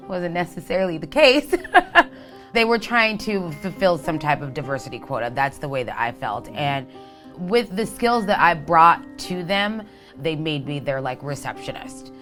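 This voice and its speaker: A woman's voice: low voice, fairly bright tone. A woman telling her own story as an employee testimonial, candid and conversational. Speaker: construction company employee giving a testimonial. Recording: music bed under the voice. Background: music.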